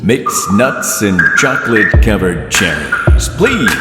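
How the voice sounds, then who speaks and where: A man playing a showy nightclub MC in a radio drama, with a grandiose, drawn-out build-up. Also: fairly low pitch, big pitch swings. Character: showy male nightclub announcer introducing a dancer. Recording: echoey club hall, loud music.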